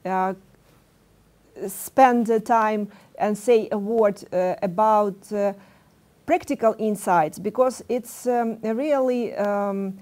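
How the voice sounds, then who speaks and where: A woman moderating a business panel, asking questions in halting speech with many fillers. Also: medium pitch, darkish tone.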